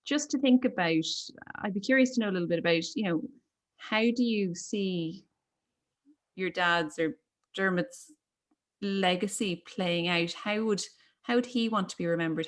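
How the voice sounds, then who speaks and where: A woman hosting a conversational interview, putting her questions in a tentative, roundabout way. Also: fairly low pitch. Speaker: interviewer and host.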